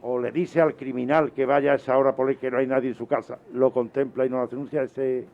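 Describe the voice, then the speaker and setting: Male radio host, Spain accent, medium pitch, casual chat, slightly dull sound. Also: level tone, fast.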